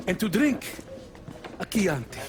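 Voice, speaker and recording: Brief: Italian restaurateur, eager to please, very animated pitch, unhurried pace, music underneath. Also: male voice. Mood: effusive welcome. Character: effusive, fawning Italian restaurateur. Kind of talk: dramatic game cutscene acting.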